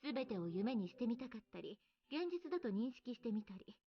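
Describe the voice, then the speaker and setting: A woman's voice: fairly high pitch, very animated, rapid-fire, muffled recording. An actress playing a cool, condescending, teasing woman in a Japanese sci-fi visual novel, speaking matter-of-factly. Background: game music.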